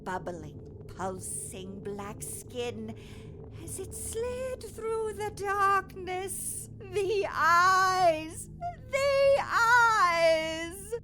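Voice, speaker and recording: A woman playing a feeble, demented elderly patient in an audio horror drama, speaking in haunted, halting fragments. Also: very high-pitched, animated, music in the background, exaggerated voice. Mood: haunted dread. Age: older.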